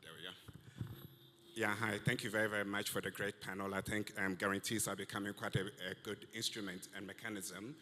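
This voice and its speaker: A man's voice: fairly low pitch, level tone, brisk, noisy background. A man in the audience asking the panel a polite question, searching for words as he goes. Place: conference hall. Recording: long hall reverb.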